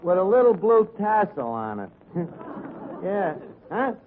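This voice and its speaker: A scheming New barkeep from a vintage radio comedy, high in pitch and full of swoops. He drawls slowly through wisecracks and mangled words, on a dull, noisy old recording. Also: very animated, crowd noise, heavily acted. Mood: breezy, wisecracking. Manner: wisecracking, hesitant drawl on the telephone. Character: brash, scheming tavern manager, mangling his words. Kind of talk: 1940s radio comedy acting.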